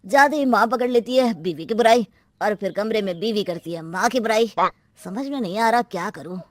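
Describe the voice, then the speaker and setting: A woman's voice: mid-range voice, normal speed, dry recording, close to an everyday voice. A woman playing a weary young married man who complains in an exasperated way.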